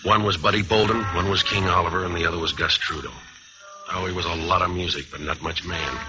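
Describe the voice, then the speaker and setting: A man acting a world-weary hardboiled jazz cornetist in a vintage American radio noir, laconic, wry and deadpan. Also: low voice, quick pace, natural voice. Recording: muffled old radio recording, music behind.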